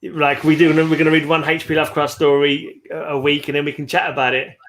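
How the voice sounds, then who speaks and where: A man's voice: fairly high pitch, flat delivery, quick pace, crowd noise. A man co-hosting a book show in casual chat, rambling and often repeating his phrases. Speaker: co-host of a book discussion show.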